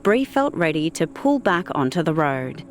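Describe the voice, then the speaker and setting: A woman reading a fictional story as an audiobook narrator, in a measured, deliberate storytelling manner. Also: sits low, big pitch swings, soft background music.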